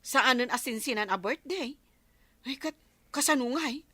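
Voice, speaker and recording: Woman acting a bright, high Ilocano busybody neighbor. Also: measured pace, no echo. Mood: awed. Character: nosy, easily awed village neighbor woman. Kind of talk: radio comedy drama acting.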